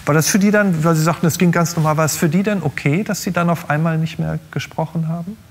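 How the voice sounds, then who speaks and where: A man's voice: a little high, fast. A middle-aged man hosting a talk show, asking questions in a conversational style. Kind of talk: conversational interviewing. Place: large TV studio.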